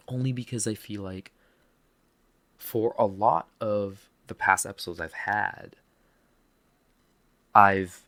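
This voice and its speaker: A man's voice: on the low side, a bit bright. A man with a Filipino accent, hosting a podcast and speaking candidly and earnestly about a personal setback, with some self-deprecating humor. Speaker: podcast host. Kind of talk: personal reflective monologue.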